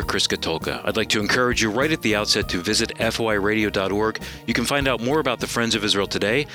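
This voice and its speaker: Low, bright-toned male radio announcer. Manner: smooth, measured announcer delivery. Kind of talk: scripted announcing and news reading.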